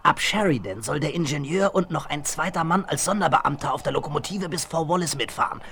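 An actor playing a scheming, boastful male spy in a 1970s western radio drama, excitable and conspiratorial. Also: on the high side, brisk, vocal fry, heavily acted. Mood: gloating. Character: scheming boastful tramp spy posing as a clerk. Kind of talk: 1970s German audio drama acting.

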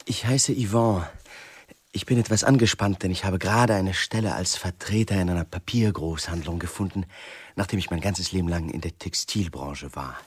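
Schauspieler als nervöser, pingeliger Yvon, deutliches Rauschen. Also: male voice, low voice, normal speed, heavy background noise, lightly acted. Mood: tense, earnest. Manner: edgy, fretful, self-deprecating. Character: Yvon, nervous, fussy, likeable underachieving man. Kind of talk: German radio play acting.

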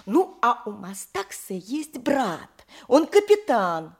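Shrewd high-voiced long-suffering wife. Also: female voice, sing-song, slow pace, breathy edge, full character voice. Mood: shrewd, persuasive. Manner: theatrical, declamatory, plaintive and knowing. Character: shrewd, long-suffering, scheming wife of poor donkey driver. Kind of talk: audio play stage acting.

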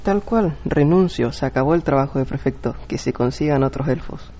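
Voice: mid-range voice, a little fast, dark tone, natural voice. An amateur performer playing a whiny, fed-up prefect elf in a radio play, grumbling and complaining. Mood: defiant. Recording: dull lo-fi home recording.

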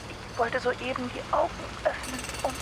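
Radio drama youngster, childlike and polite, high voice female actor, noisy street backdrop. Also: fairly even intonation, normal speed, natural voice. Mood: indignant, puzzled. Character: naive, polite young Baumann, childlike and eager. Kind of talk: German radio drama acting.

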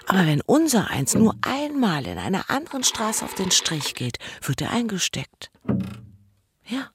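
An actress playing a worldly, bitter streetwalker in a radio play, speaking in a knowing, matter-of-fact, sardonic way. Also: female voice, mid-range voice, sing-song, a little slow, noisy background. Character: worldly, bitter streetwalker taking in a younger girl. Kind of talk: German radio play acting.

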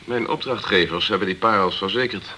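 An actor playing a dogged, straight-talking insurance investigator in a Dutch radio crime drama, direct and clipped. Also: male voice, on the low side, brisk, bright, forceful tone, a little hiss or hum. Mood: insistent.

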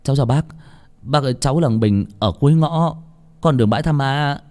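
A man's voice: mid-range voice, fairly quick, dark tone, voice pushed up, natural voice. A man voicing a timid, bewildered village boy in a horror story, stuttering his questions. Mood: polite.

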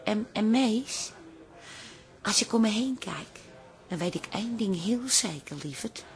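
A woman acting in a Dutch radio drama as a chatty, teasing, warm-hearted working-class wife who talks colloquially and with affectionate exclamations. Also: mid-range voice, expressive, a little slow. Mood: affectionate, weary.

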